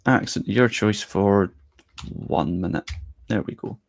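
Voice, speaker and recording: A man's voice: fairly low pitch, slow, gravelly, no room sound. A young man live-streaming, chatting casually with his viewers and thinking aloud as he works on screen.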